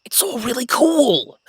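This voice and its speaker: Man playing an excitable, nerdy young academic explorer, dry sound. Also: very high voice, no echo, full character voice. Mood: giddy excitement. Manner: gushing, stammering, apologetic. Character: excitable, nerdy young male academic on his first jungle expedition. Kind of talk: immersive roleplay audio drama, one-sided conversation.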